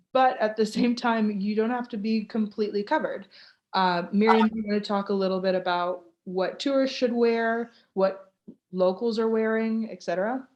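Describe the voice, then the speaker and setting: A woman's voice: mid-range voice, darkish tone, lo-fi sound. Chatty American woman hosting a Q&A. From United States (Florida). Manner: chatty, informal, reads questions aloud. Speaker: host, tour ambassador. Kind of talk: hosting a live online Q&A.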